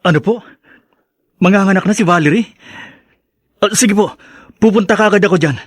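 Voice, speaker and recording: A Filipino actor playing a kind-hearted young adult son, polite and respectful, in radio drama style. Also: male voice, sits high, fairly quick, slightly rough, dry recording. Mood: polite, eager.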